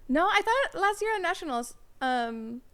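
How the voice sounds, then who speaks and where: A woman's voice: very high voice, sing-song, moderate pace, a bit bright. A woman hosting a casual interview, asking questions in a friendly way with frequent short affirmations. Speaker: podcast host.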